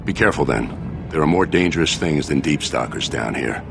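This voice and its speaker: An actor playing a formal, dignified dwarven warrior noble in a fantasy video game. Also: male voice, very deep voice, lively intonation, normal speed, creaky, exaggerated voice. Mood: grateful, earnest. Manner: formal, stately. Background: game music.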